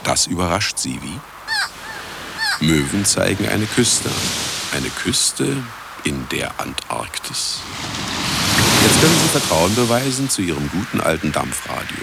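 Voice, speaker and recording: Deep, gravelly, sardonic radio broadcaster. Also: male voice, wildly swooping pitch, slow, very noisy, full character voice. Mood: sly, showy. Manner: theatrical, mocking, lecturing, addressing listeners directly. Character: sardonic, grandiose male radio broadcaster in polar isolation. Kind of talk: radio play monologue.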